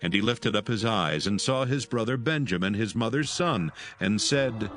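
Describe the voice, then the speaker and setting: A man's voice: lowish voice, lively intonation, normal speed, fairly bright tone, soft background music. A man narrating solemn scripture as part of a dramatised audio Bible.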